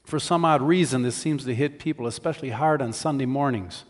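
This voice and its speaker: A man giving a conversational sermon as a preacher, in a measured, explanatory way. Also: mid-range voice. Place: large church hall. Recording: reverberant hall sound.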